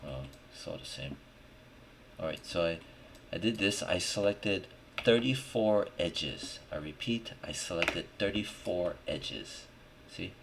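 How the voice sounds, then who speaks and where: A man with a very deep voice walks through software steps at a slow pace. He talks informally, thinking aloud and checking in as he goes. Noticeable noise, typing and mouse clicks sit behind his voice. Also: lively intonation. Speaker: 3D modelling tutorial instructor. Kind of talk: casual screen-recorded software tutorial, talking while working. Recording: clearly noisy, with keyboard and mouse clicks. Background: background noise, typing and clicking.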